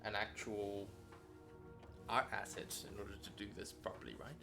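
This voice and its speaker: Low, creaky man over clearly audible music. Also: level tone, normal speed. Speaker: lead writer learning the software. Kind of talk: casual back-and-forth while following instructions.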